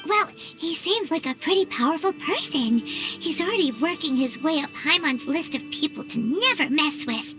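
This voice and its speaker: A woman voicing a tiny, excitable female fairy companion in a video game, with a squeaky, childlike, bubbly delivery. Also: moderate pace. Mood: cautious, chatty. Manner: speaks of herself in third person, bubbly. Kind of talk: video game character acting. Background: game music.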